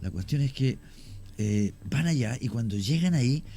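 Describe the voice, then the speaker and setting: A middle-aged Chilean man tells his stories at an unhurried pace, stopping often to restart a phrase. His voice is mellow and dark, with a medium pitch. Soft music plays faintly underneath. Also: expressive. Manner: unhurried, many fillers and restarts, anecdotal. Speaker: guest storyteller. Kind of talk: radio conversation, recounting cases.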